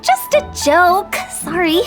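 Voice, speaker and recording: A very high-pitched young female funeral director from a video game. She is mischievous and cheery, selling with playful theatrical flair. Music plays behind her. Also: sing-song, slow pace, exaggerated voice. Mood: teasing, wry.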